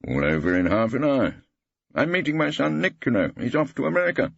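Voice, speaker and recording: A man's voice: mid-range voice, big pitch swings, a little fast, gravelly, a bit bright, no room sound, dull audio, pitched above the performer's own voice, heavily acted. A man voicing an irreverent, ageing English barrister in an audiobook, pompous, ironic and mock-incredulous. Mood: dry, resigned.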